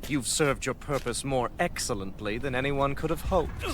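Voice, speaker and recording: An actor playing a scheming villainous lord in a video game, formal, sneering and condescending. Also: male voice, mid-range voice, normal speed, noisy background, lightly acted. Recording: music behind the voice.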